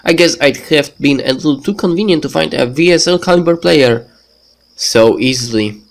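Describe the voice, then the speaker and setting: A man who is a bit sick hosts a let's play, reading a visual novel script aloud in a halting, stumbling way. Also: on the high side, vocal fry. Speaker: let's play video host. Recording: music behind the voice. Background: background game music.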